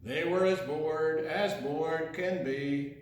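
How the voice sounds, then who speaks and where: In a strongly reverberant room, an older man tells a children's story at an unhurried pace. His voice sits high for a man. He draws words out theatrically in a singsong rhythm and breaks off for playful questions to his listeners. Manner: theatrical, singsong, drawn-out delivery with teasing questions. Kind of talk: read-aloud picture-book story with playful asides to young listeners.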